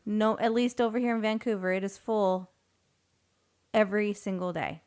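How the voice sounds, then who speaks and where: A woman's voice: mid-range voice, steady tone, normal speed, darkish tone, clean tone. A young woman co-hosting a podcast, chatting casually, laughing easily and complaining with emphasis. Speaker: podcast co-host. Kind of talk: casual chat.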